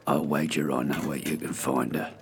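An actor playing a streetwise, chummy informant in a period audio drama, with a gravelly London voice. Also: male voice, rumbling low, little pitch movement, normal speed, noisy background. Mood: chummy, knowing. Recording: busy crowd chatter behind.

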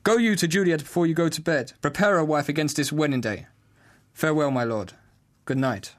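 A man's voice: a little high, a little fast, natural voice. A man playing a domineering, hot-tempered noble father, commanding and declamatory, in a Shakespeare audio drama. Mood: eager, commanding.

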